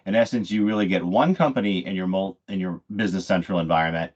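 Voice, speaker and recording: Guy doing a product demo, lowish voice, conversational explaining w little pauses, kinda dull lo-fi sound. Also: darkish tone. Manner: explanatory, conversational, pausing mid-phrase. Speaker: software director giving a product demo. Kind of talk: live software demo presentation. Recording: dull, muffled lo-fi audio.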